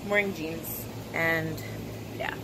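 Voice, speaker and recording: A woman's voice: deep voice, steady tone, takes it slowly, noisy background. A young woman vlogging to a phone held at arm's length, talking casually with many ums and likes. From Canada. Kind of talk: casual vlog talk to camera. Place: outdoors on a porch and in a car.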